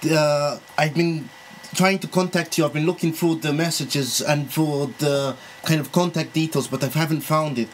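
Creepy, pleading lecturer, played by a man, halting prank-call delivery, slightly noisy amateur recording. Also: on the high side, natural voice. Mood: stern, exasperated. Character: lovesick creepy Italian male university lecturer.

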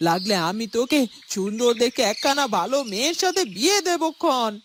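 A disapproving cartoon mother , acted by a woman, talking to her grown son. She is fairly high-pitched, complaining and insistent, often repeating herself. Birds chirp clearly behind her. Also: big pitch swings, a little fast, background noise. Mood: complaining, coaxing. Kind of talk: cartoon family melodrama.

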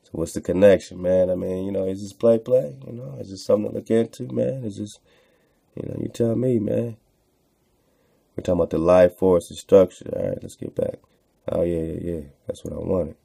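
Very deep, gravelly man commenting casually. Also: a little fast, dark tone. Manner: slangy, conversational, repeats phrases for emphasis. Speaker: host of an online radio show. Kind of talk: casual commentary while reading aloud from a text.

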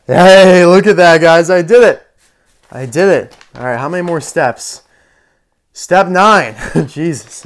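Clear-voiced man chatting through toy assembly. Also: mid-range voice. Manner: chatty, thinking aloud. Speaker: toy reviewer. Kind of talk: casual unscripted hands-on toy assembly commentary. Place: indoor room full of toy displays.

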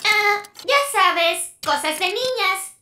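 An actor voicing a playful young child in a children's cartoon, with a childlike voice and an animated, theatrical manner. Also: takes it slowly, very noisy, full character voice. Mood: casual. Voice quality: high childlike voice. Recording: loud music behind.

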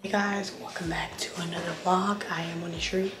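Hoarse young woman vlogger, low and flat. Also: normal speed, music in the background. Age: 20s. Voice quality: hoarse, breaking into whisper. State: hoarse, sick, voice partly gone. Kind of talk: casual vlog chat to viewers. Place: indoors, mostly a bedroom at home. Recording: clearly noisy camera audio.

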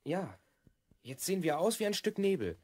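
Bossy young boy from the future, swoopy pitch, dry sound, kids audio drama. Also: big pitch swings, normal speed, darkish tone, no room sound. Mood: instructing. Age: child figure, twelve. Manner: earnest, explaining, bossy. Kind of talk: German children's audio drama acting.

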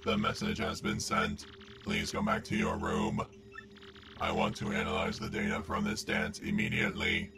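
Gravelly, ultra-deep monotone supercomputer. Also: male voice, moderate pace, heavy background noise, exaggerated voice. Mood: flat, formal. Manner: formal, stilted, monotone. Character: polite, literal-minded supercomputer curious about feelings. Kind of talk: fan dub of a video game.

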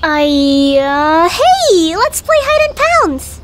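Bold young lion cub for a cartoon dub, casual, cheeky, unrushed in speech. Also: female voice, very high voice, sing-song, drawn-out words, heavy background noise, heavily acted. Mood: playful. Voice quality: childlike. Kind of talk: comic dub character acting.

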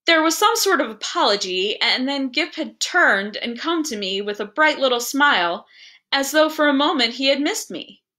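Bright-voiced woman reading a story dramatically. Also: high voice, animated, moderate pace. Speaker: librarian reading a story aloud. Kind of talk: expressive literary storybook narration.

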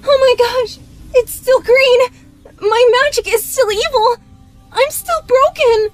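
A very high-pitched cartoon teenage princess. She is intensely emotional and earnest, a magical girl in a fan comic dub. Music plays behind her. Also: slow. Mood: panicked.

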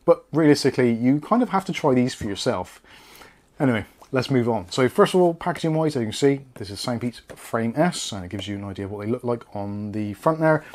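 A man's voice: mid-range voice, expressive, a little fast, darkish tone. British man presenting a casual product review. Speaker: product reviewer, channel host.

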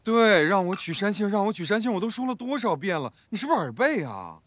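A man's voice: a little high, sing-song, moderate pace, fairly bright tone, slight background noise. An actor in a TV drama playing a put-upon young adjutant, impatient and whiny as he protests. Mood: irritated. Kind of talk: TV drama acting.

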